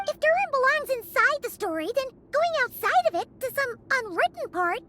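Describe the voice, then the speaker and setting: A tiny, piping companion frets and stammers in a video game scene. Her voice sits extremely high and thin. She hurries over worried questions. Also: big pitch swings, moderate pace, pushed and bright, faint music behind, heavily acted. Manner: fretful, stammering.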